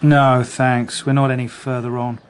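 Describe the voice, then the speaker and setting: An actor playing a restrained, thoughtful police detective, measured and understated, in a radio drama. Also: male voice, mid-range voice, level tone, clear, resonant tone, lightly acted. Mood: quietly curious. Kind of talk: naturalistic radio drama dialogue.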